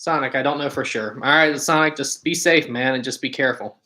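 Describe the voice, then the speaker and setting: A man's voice: medium pitch, fairly quick, bright, forceful tone. A man with a West Virginia accent hosting a casual livestream, chatting informally and answering viewer comments. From West Virginia, United States. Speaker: livestream host.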